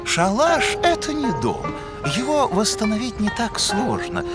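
A man voicing a pompous male elk scientist who lectures his friends in a lofty tone, over loud cartoon music. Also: sits high, wildly swooping pitch, a little slow, exaggerated voice.

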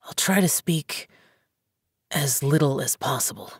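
A woman playing an aloof young male immortal demon hunter in a video game, speaking in a terse, solemn, formal way with a husky voice. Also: deep voice, a little slow, no room sound, clean studio sound, exaggerated voice. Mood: earnest, restrained. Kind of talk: English video game character dialogue.

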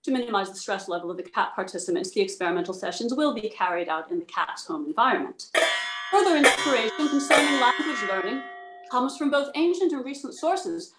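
A woman with a fairly high voice presents academic material on a video call. The sound is noticeably roomy, with the echo of an indoor space. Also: lively intonation, a little fast, over loud music. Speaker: presenter reading a research paper. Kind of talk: dramatic reading of a scientific paper, then answering questions. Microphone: video call microphone.

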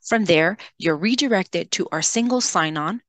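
A woman software trainer giving an instructional screen-share tutorial, explaining in a measured way and addressing learners directly. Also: lowish voice, lively intonation, no echo.

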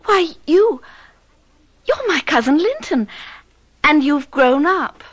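A woman's voice: sits high, big pitch swings, slow, full character voice. An actress playing a sheltered, willful and lonely teenage girl in a radio drama. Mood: curious.

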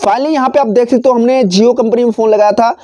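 Mellow young man giving phone tutorial. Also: extremely high pitch, fast. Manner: repetitive, instructional phrasing. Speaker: tech tutorial presenter. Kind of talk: step-by-step phone tutorial, spoken off the cuff. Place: small decorated indoor room. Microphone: clip-on lapel mic.

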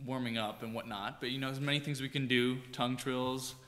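A young man, an opera singer, casually explaining his vocal warm-ups on a concert hall stage. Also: medium pitch, steady tone, fairly quick. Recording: long concert hall reverb.

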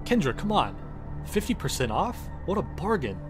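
A man's voice: fairly high pitch, big pitch swings, normal speed. A man performing an exasperated, foul-mouthed space engineer in a comedic game parody, with a sarcastic, ranting delivery. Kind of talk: comedic scripted parody dialogue. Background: game music.

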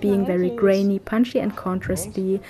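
A woman's voice: medium pitch, flat delivery, moderate pace, crowd noise. A woman in her thirties from Germany, with a German accent, talking in a casual vlog style as a street photographer. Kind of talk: casual vlog talk and narration.

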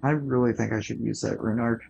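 A man's voice: mid-range voice, steady tone, brisk, gravelly, darkish tone, faint music behind. A young man on voice chat, joking casually with short, quippy remarks. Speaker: friend on voice chat.

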